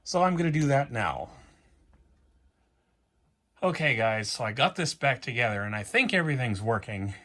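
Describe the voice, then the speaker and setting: A man's voice: medium pitch, very animated, normal speed, long pauses, fairly bright tone. Casual man talking through a repair. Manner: informal, thinking aloud. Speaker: repair vlogger and host. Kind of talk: casual workbench repair commentary. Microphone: backup microphone, not the usual audio recorder.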